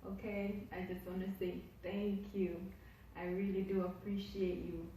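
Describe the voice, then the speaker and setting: A woman's voice: medium pitch, level tone, unhurried, warm tone, heavy reverb, distant mic, a little hiss or hum, low-quality recording. A Jamaican woman vlogging casually to her camera, effusive and repetitive as she piles up her thanks. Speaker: YouTuber. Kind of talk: casual vlog talk to camera.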